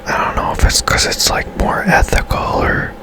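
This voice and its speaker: A man whispering casual, rambling ASMR commentary over his own gameplay, musing as he goes. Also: normal speed. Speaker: gaming streamer narrating own gameplay.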